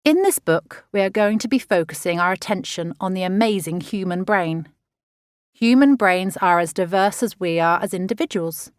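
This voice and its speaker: A woman reading a children's nonfiction audiobook in an expressive, measured, explanatory way. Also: mid-range voice, dry recording, clean studio sound. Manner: clear, measured, expressive teaching delivery for children. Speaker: author narrating own audiobook.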